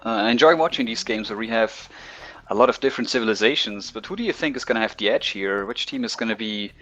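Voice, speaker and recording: Low-voiced man co-casting. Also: lively intonation, fast talker, a bit bright, dull audio. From Liechtenstein. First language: German. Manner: thoughtful, hesitant, restarts phrases often. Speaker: co-caster. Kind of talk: esports commentary over voice chat.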